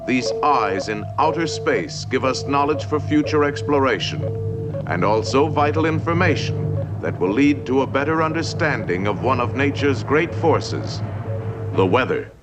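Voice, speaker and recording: A man narrates a 1950s educational film in a playful storytelling manner, with a lively, swooping delivery. Loud orchestral music plays behind his voice throughout. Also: mid-range voice, normal speed. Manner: warm, playful storytelling with rhyming lines.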